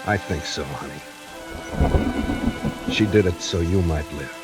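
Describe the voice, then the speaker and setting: A man's voice: low voice, measured pace, loud music behind the voice. A man playing an earnest, decisive doctor and protective sweetheart in 1940s radio acting, speaking with emphatic urgency. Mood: earnest, insistent. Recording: hissy 1940s radio recording, rain behind.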